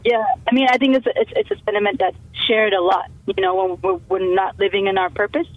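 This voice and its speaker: A woman's voice: medium pitch, fairly even intonation, quick pace, no echo. A young woman from Canada, a musician, chatting casually in an interview, rambling with many fillers and restarts. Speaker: musician guest. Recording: tinny phone line.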